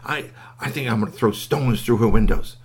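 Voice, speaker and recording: Man playing an excitable, husky young boy. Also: mid-range voice, normal speed, close to an everyday voice. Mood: plotting. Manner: blurting, repetitive exclamations. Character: excitable, indignant young boy, hot-headed and impulsive.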